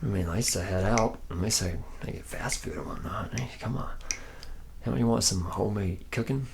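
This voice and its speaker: A man's voice: fairly low pitch, moderate pace, gravelly, background noise. A man playing a laid-back, drunken womanizer who works at a robot factory, rambling and boasting in slangy, repetitive talk for an ASMR roleplay. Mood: chummy, rambling. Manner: slack, rambling, boastful, slangy, repeating himself. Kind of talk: ASMR roleplay, soft improvised-sounding monologue.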